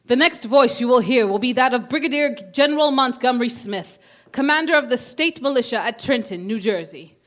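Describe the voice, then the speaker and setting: An actress playing a composed radio studio announcer reading bulletins in a formal, measured way for a radio drama. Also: female voice, a little high, lively intonation, a bit bright. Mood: grave. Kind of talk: 1930s radio news announcing.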